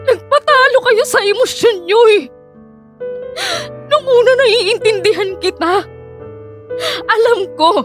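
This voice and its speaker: A woman's voice: very high voice, slow pace, long pauses. A woman acting a hardworking, weary student daughter in a Filipino radio drama, earnest and emotional, pleading with a Filipino accent. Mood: tearful, defiant. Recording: music bed under the voice.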